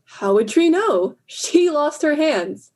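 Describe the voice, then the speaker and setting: Actress as a very high-voiced, defensive crewwoman. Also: big pitch swings, slow pace, darkish tone, exaggerated voice. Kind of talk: comic audio drama acting.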